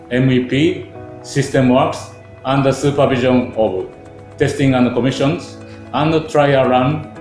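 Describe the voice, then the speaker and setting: A man's voice: mid-range voice, a little mellow, echoey room. A man giving a scripted corporate narration, steadily listing points one by one.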